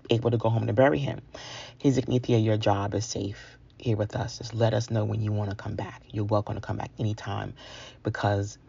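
Woman around 40 from new york, husky n creaky, telling her own story real candid, kinda muffled recording. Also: rumbling low, mellow. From New York, United States. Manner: candid, conversational, confiding. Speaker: featured storyteller. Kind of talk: personal storytelling to an audience.

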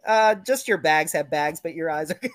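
A woman's voice: low voice, moderate pace, dry recording, clean studio sound. A woman hosting a podcast in casual chat. She laughs often and her voice sounds a little worn. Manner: laughs often, animated retelling. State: voice slightly worn. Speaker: podcast host.

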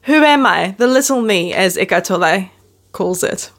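Gravelly-voiced New Zealand woman, gentle and candid. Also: mid-range voice, lively intonation, normal speed. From born in the Netherlands, raised in New Zealand. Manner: gentle, candid, with soft self-deprecating laughs. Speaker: podcast host. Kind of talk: casual reflective monologue to camera. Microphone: self-filmed video camera.

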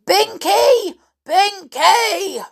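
A woman voicing a huge, dim, bad-tempered male giant in a storybook, bullying and loud. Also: mid-range voice, animated, unhurried. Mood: angry roaring. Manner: roaring, thundering, muttering over sums.

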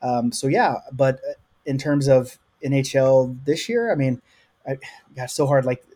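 A man's voice: mid-range voice, unhurried, warm tone. A man from the US South with a light Oklahoma accent, a guest who answers in an earnest, rambling way. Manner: rambling, earnest, lots of likes. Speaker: podcast guest. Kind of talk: casual interview.

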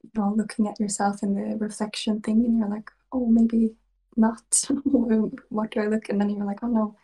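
A woman's voice: mid-range voice, little pitch movement, moderate pace, rough recording. A young Swedish woman, a native Swedish speaker with a mixed English and Australian accent, chatting as a podcast guest, laughing often and drifting into whispers. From Sweden. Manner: giggly, slips into soft whispers. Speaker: podcast guest, ASMR creator. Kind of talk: casual interview chat.

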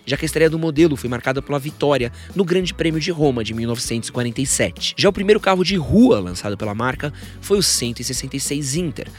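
A man's voice: mid-range voice, lively intonation, fast, few pauses, faint music behind. A man hosting a scripted biographical podcast, with informal joking asides. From São Paulo, Brazil. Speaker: podcast host. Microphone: studio podcast microphone.

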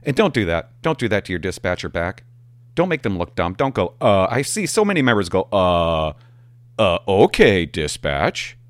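A middle-aged man, a former police officer, telling work anecdotes casually in a halting, animated way and acting out the dialogue. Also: fairly low pitch, no room sound. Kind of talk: casual anecdotal storytelling to viewers.

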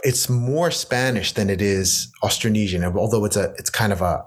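An American man, a poet, talking as an interview guest in a reflective way, often restarting his sentences and laughing softly. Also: on the low side, moderate pace, slightly rough. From United States. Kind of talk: casual conversational interview.

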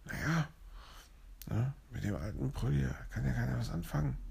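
A man voicing a bossy male parrot police officer who scolds officiously, in a gravelly husky voice. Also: medium pitch, lively intonation, moderate pace, a little mellow, pitched above the performer's own voice, exaggerated voice. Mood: scolding.

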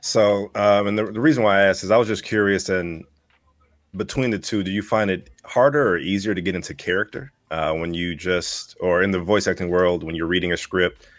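A man's voice: sits low, fairly even intonation, brisk, no room sound. A man co-hosting a casual interview, asking wordy, slightly hesitant questions. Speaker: podcast co-host.

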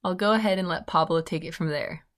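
A woman hosting a podcast, reading out a smooth introduction of her guest. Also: lowish voice, fast talker. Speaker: podcast host.

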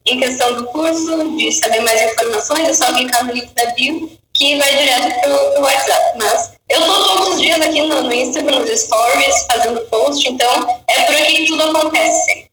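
Bright high-voiced woman teacher, echoey. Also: moderate pace, few pauses. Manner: thoughtful, hesitant, many restarts. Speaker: English teacher. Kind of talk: live-stream interview chat. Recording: echoey room, far from mic, faint music.

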